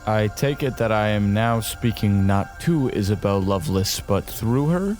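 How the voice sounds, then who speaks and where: An actor playing a formal, domineering colonel who commands a space station, in an audio drama. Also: male voice, lowish voice, animated, a little slow, darkish tone, faint music behind. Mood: smug, formal.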